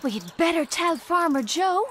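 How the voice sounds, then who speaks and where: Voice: very high voice, expressive, moderate pace, sharp tone, slight background noise, pitched above the performer's own voice, full character voice. A narrator doing a whispery little girl. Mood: worried. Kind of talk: storybook character voice.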